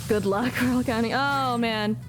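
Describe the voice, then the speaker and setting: A woman's voice: a little high, fairly even intonation, a little slow, low-quality recording. A woman giving casual live gameplay commentary, exclaiming and muttering to herself as she reacts. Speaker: game streamer. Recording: game music behind the voice.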